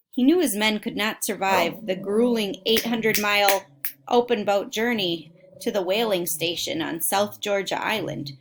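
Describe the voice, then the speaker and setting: A woman with a medium-pitched voice reads a true adventure story aloud for children. She sounds like a teacher, stressing the dramatic words and addressing her listeners directly. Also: gravelly, a little hiss or hum. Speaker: teacher reading a book aloud to students. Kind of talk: expressive read-aloud of a children's nonfiction story with asides. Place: at home.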